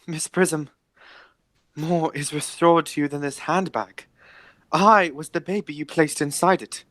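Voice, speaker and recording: Woman playing an earnest young gentleman, close dry sound. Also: low-pitched, steady tone, unhurried, no room sound. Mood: grave, urgent. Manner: formal, theatrical. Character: earnest, excitable young gentleman seeking his origins. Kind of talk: amateur radio play of period comedy.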